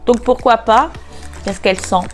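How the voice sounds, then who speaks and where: A woman talking slowly in a fairly high voice, stuffy and nasal from a cold. She unboxes beauty products in a relaxed, hesitant chat, with many pauses and filler sounds. Faint music plays underneath. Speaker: beauty vlogger.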